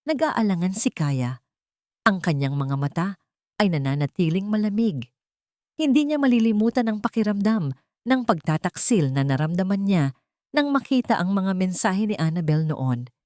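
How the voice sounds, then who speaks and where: A woman's voice: mid-range voice, sing-song, normal speed, a little mellow, no echo. A woman reading a dramatic romance story aloud as narrator. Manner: dramatic storytelling, reads all parts herself.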